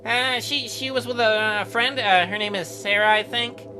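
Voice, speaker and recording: A man's voice: sits high, normal speed, background noise, lightly acted. A man voicing a nervous, eager, lonely house cleaner who stammers and hesitates, for a visual novel game.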